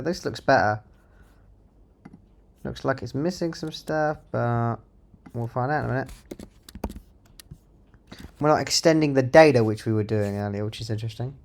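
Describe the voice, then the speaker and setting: A man's voice: mid-range voice, clean tone. A man narrating his own coding work as a developer, thinking aloud in an informal, half-muttered way. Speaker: software developer presenting own project.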